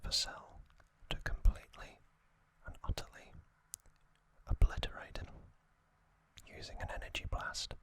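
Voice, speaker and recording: ASMR recap host, fully whispered, bright sibilant tone, measured short phrases. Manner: hushed, slow, deliberate, in short phrases.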